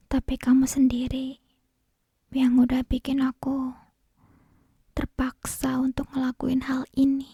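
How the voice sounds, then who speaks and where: A woman playing an obsessive, possessive yandere kidnapper in an ASMR roleplay, whispering slowly and breathily with menacing sweetness, close to a binaural microphone. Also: sits high, gravelly, dark tone, husky, no room sound, heavily acted. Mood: pleading obsession. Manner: slow, lingering, menacingly sweet delivery. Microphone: close binaural ear microphone.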